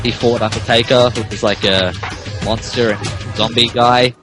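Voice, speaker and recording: A man's voice: mid-range voice, fairly even intonation, moderate pace, over music. A man hosting a comedy podcast, moving between casual banter and a mock-dramatic reading of fan fiction. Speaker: podcast host and narrator.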